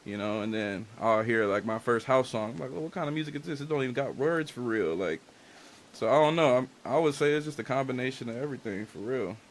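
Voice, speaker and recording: A man's voice: mid-range voice, fast talker. A young man whose first language is Spanish, a music artist and engineer, talking loosely about his influences in a casual interview and leaning heavily on "like" and slang. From Dominican and Haitian family. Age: young adult. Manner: loose and candid, heavy on "like" and slang.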